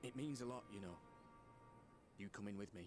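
Fantasy game soldier male actor: British, earnest, self-doubting but resolute, plain-spoken, on the deeper side. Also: fairly quick, music in the background, natural voice. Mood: steady. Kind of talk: game cutscene voice acting.

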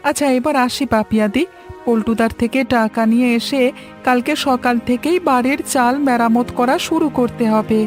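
Woman voicing an earnest, high-voiced village boy. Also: normal speed, soft background music, lightly acted. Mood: worried. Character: earnest, hardworking poor village boy, respectful and worried. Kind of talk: cartoon story dialogue.

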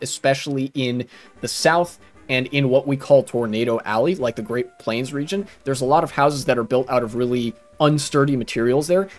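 A young adult man, rambling casually and earnestly as he explains things during a game stream. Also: mid-range voice. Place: small room at home, indoors. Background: game music.

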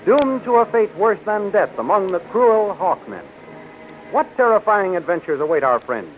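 A man's voice: sits high, very animated, moderate pace, music in the background. A man with an American accent announcing a 1930s radio serial in a theatrical, declamatory scripted style. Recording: dull, noisy 1930s radio recording.

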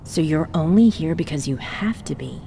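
A woman's voice: low-pitched, animated, moderate pace, vocal fry, mellow, big-room sound, slight background noise. A woman playing a poised, ambitious researcher in a video game, polite, composed and sure of herself. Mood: composed, confident. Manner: polite, composed, self-certain.

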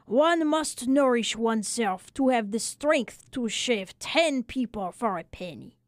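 A woman voicing a small old Frenchman, a weary, talkative barber of wry philosophy, exclaiming and lamenting in drawn-out phrases for a storybook reading. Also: fairly high pitch, expressive, dry recording, clean studio sound. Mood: exasperated.

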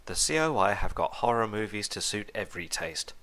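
A man's voice: on the low side, expressive, brisk, bright, forceful tone. A man narrating a scripted comic review in a sardonic manner. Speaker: video reviewer.

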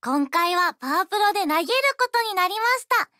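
Cheerful high school ball girl for a game: high voice, drawn-out endings, animated delivery. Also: dry recording, clean studio sound, exaggerated voice. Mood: cheerful, easygoing. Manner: drawn-out playful sentence endings. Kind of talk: game character voice lines. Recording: loud game music behind the voice. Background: game music.

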